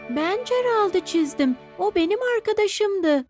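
A sensitive little preschool boy speaks in a very high voice. He takes his time over simple, earnest phrases. It is a children's cartoon dub with music behind. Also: expressive, unhurried. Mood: wistful, earnest.

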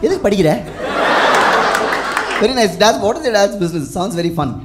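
A young man with a Tamil Indian English accent doing crowd-work stand-up, teasing audience members and switching quickly into Tamil. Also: high-pitched, sing-song, noisy background. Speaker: stand-up comedian. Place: theatre stage in front of a live audience. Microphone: handheld stage microphone. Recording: loud live crowd laughter.

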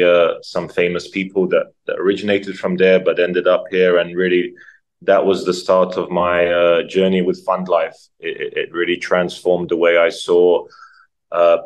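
A man, speaking as an interview guest in a reflective way, full of ums and repeated words. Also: low voice. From Croatia, raised in London. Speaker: guest, nonprofit founder. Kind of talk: casual interview talk.

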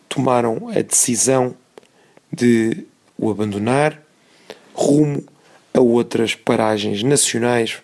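A man with a European Portuguese accent reads a scripted opinion commentary in formal, measured, short phrases. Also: mid-range voice, vocal fry, darkish tone.

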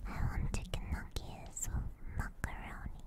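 Whispering young woman streaming ASMR. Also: measured pace. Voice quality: breathy whisper. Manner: relaxed, playful, casually sweary. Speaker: ASMR streamer hosting a live hangout. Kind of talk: whispered ASMR, casual chat with viewers. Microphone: binaural 3Dio ASMR microphone, very close.

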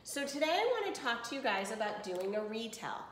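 A woman's voice: on the high side, sing-song, brisk, a bit bright, slight background noise. Woman teacher in a roomy space. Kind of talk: read-aloud and casual lesson for children. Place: small indoor room at home. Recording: roomy home video recording.

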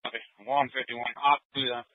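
A man's voice: mid-range voice, little pitch movement, a little fast, no room sound. Man, dispatcher, brief replies, narrowband two-way radio, dry.

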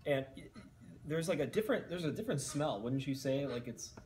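A young man in a room, talking into a headset mic in a deadpan, earnest infomercial tone. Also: a little high, a little fast, slight background noise. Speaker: co-host. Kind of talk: mock-serious cooking commentary.

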